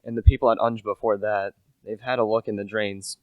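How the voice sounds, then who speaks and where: A man's voice: on the low side, fairly even intonation, brisk, dry recording, narrow, tinny audio, lightly acted. A young man acting a humble, chatty small-time salesman who narrates a radio play in a plain, confiding manner. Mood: matter-of-fact. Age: young adult. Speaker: narrator and lead character.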